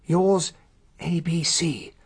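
An actor playing an earnest, loyal, well-bred English gentleman who narrates and talks as a detective's sidekick in a radio drama. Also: fairly high pitch, steady tone, very slow, breathy edge, full character voice. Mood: reading aloud.

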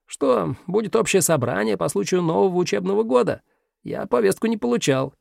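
A man's voice: sits high, expressive, fairly quick, creaky, darkish tone, no echo, studio quality, voice pushed up, exaggerated voice. A man doing a whiny sly minus sign. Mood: feigned innocence. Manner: wheedling, pleading, evasive.

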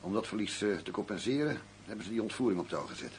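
An actor playing a shrewd, stubborn arms dealer in a crime radio drama, speaking in a curt, commanding and condescending manner. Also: male voice, fairly low pitch, animated, fast, close to an everyday voice. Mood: calculating. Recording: faint noise and hum.

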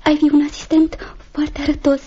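An actress in a Romanian radio play as a gentle, affectionate young sister. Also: female voice, high-pitched, level tone, natural voice. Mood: tender, uneasy. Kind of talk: Romanian radio theatre acting. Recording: dull, noisy old recording with clicks.

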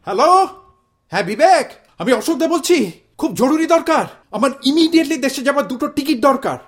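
An actor playing an excitable, exasperated middle-aged Bengali immigrant husband in a comic audio drama, exclaiming, grumbling and slipping into English phrases. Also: male voice, very high voice, fairly quick. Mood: urgent, flustered. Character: hot-headed exasperated Bengali immigrant husband, melodramatic and stubborn. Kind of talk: audio stage-style comedy drama acting.

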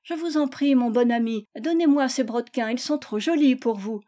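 Woman acting a spoiled little girl, insistent and high. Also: normal speed, mellow, close to an everyday voice. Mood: wheedling. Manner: insistent, pouting.

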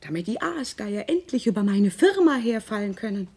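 A middle-aged mother in a radio play. Her voice is soft-edged and dark, with some huskiness. She speaks earnestly and confidingly, weighing her words and leaning on the important ones. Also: medium pitch, sing-song, moderate pace, slightly rough. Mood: urgent. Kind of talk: naturalistic German radio drama acting.

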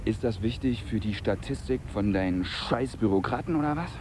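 An actor as a jobless harbour labourer, cynical and coarse, in a radio play. His low voice swings widely as he grumbles bitterly. There is clear noise behind him. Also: animated, moderate pace, darkish tone, close to an everyday voice. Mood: scornful. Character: bitter, foul-mouthed jobless casual labourer at the old harbour. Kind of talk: naturalistic radio drama dialogue.

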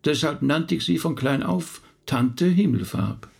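A man's voice: fairly high pitch, measured pace, gravelly, slightly rough, full character voice. A male actor playing a middle-aged rabbi in a naturalistic radio drama, thoughtful, talkative and a little hesitant as he recounts family history. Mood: candid, reminiscing. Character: thoughtful male rabbi recounting his late relative's life.

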